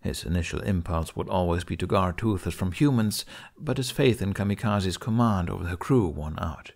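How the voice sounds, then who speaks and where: A man's voice: deep voice, moderate pace, vocal fry, a little mellow. A man reading a story aloud as an audiobook narrator in a scripted storytelling style.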